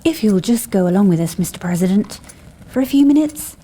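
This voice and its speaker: A woman's voice: mid-range voice, lively intonation, fairly quick, warm tone, echoey room, close to an everyday voice. A woman playing an intent, uncanny investigator who senses disturbances in time, in an audio drama. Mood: urgent. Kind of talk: fan-made audio drama acting.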